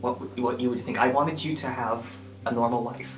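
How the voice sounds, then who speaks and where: A man acts out a sheepish male guidance counselor in a tabletop roleplay show. He speaks haltingly and apologetically, in a mid-pitched voice. Guitar music plays clearly behind him in a roomy space. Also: steady tone, close to an everyday voice. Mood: hesitant confession.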